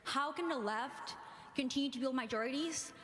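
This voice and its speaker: A woman's voice: high-pitched, moderate pace, fairly bright tone. A young woman from Mexico, a student, politely asking a question in a university hall. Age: young adult. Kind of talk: audience question. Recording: roomy hall with background noise.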